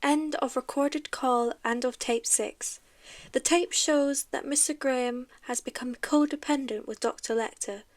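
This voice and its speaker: A woman's voice: sits high, steady tone, measured pace. A woman playing an investigator who records an official case review in an audio drama, formal and matter-of-fact. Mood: formal, detached. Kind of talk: scripted audio drama narration.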